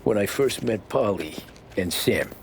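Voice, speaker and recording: A man's voice: fairly low pitch, expressive, a little slow, a little hiss or hum. A man with an American accent playing a working-class cab driver drawn reluctantly into the mob, in a cinematic 1930s crime game. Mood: weary, reflective.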